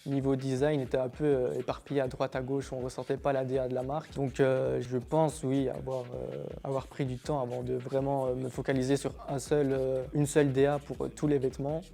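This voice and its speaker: A nineteen-year-old man from Belgium, founder of a clothing brand, answering interview questions in a relaxed, chatty way full of fillers. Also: medium pitch, fairly quick, vocal fry, hardly any pauses. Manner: relaxed and chatty, many fillers, calls the viewers "guys". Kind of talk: casual interview answers to camera. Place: pop-up shop event.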